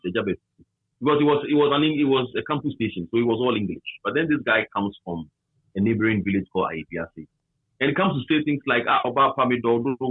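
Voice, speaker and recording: A Ghanaian man reminiscing conversationally as an interviewee, with a husky voice and a habit of repeating phrases for emphasis, over a tinny line. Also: on the low side, dry recording. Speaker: interviewee, former campus radio manager.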